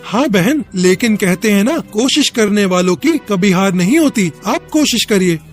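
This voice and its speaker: A man's voice: sits high, expressive, normal speed, faint music behind. A man voicing a kind, brotherly talking cloud who gives reassuring advice in a children's moral cartoon. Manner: reassuring, advising. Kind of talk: Hindi moral cartoon dialogue.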